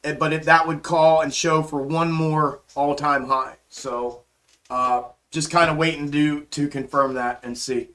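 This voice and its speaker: Hoarse male livestream host, easygoing, slightly high voice, casual market chatter. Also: little pitch movement, a little slow, pushed and bright. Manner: laid-back, calls viewers bro and man. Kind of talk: casual live chart commentary and chat replies.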